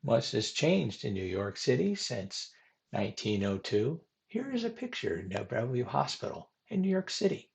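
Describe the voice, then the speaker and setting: A man presents scripted historical narration in a formal, read-aloud way. His pitch moves animatedly up and down across phrases. A noticeable creak gives the voice a gravelly edge. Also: big pitch swings, moderate pace, a little mellow, a little hiss or hum. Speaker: presenter reading a biographical history.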